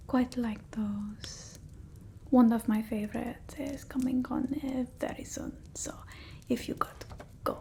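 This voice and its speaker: A woman playing a haughty but fond vampire mistress in an ASMR roleplay, speaking softly and breathily, often whispering, formal and hesitant. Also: fairly high pitch, creaky, a little hiss or hum. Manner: slow, hesitant, formal, politely imperious.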